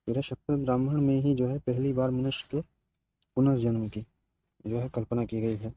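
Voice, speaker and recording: A man giving an exam-preparation lecture as a teacher, haltingly repeating and restating his key phrases. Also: medium pitch, little pitch movement, dry recording, highs cut off. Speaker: teacher of exam history lessons.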